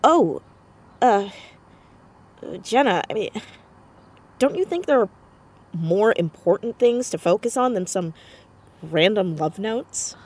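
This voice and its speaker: Audio drama: earnest, romantic fourteen-year-old girl, expressive and headstrong, very lively pitch, slightly rough edge. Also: slow pace, long pauses. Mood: defensive, reluctant. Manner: excitable, stammering when flustered, expressive. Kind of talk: naturalistic audio drama acting.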